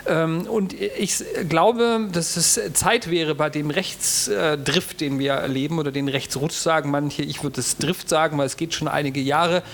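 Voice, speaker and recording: A man's voice: fairly high pitch, creaky, a bit bright. Argumentative man on a discussion panel. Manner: deliberate, argumentative, with frequent fillers. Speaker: panel speaker.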